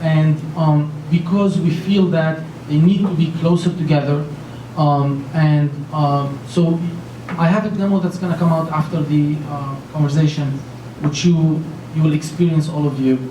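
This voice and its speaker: A man's voice: on the high side, fairly even intonation, normal speed, few pauses, mellow. A man in his thirties from Lebanon, speaking on a conference panel in an earnest, rambling way full of fillers and restarts. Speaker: panelist, company founder. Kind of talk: panel talk. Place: large conference room with an audience. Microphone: handheld mic. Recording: echoey room from far off, faint noise.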